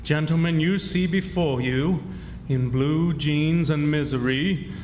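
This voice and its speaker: A man's voice: a little high, slow, a little mellow, slight background noise. A man acting a grey-haired old swindler who poses as an exiled French king, folksy and mock-solemn, in old-time radio drama style. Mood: pompous, sorrowful. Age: older. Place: stage in a reverberant historic club hall. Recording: echoey hall stage performance with music.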